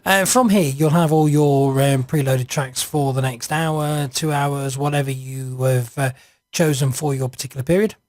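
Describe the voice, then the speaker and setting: A man narrating an informal screencast software walkthrough, hesitant and improvised as he thinks aloud. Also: medium pitch, fairly even intonation, moderate pace, dry recording. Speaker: software reviewer.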